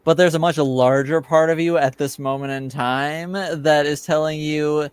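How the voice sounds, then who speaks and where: A woman improvising narration as a tabletop game master, halting and pausing between phrases. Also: deep voice, fairly even intonation, clear, resonant tone, no room sound.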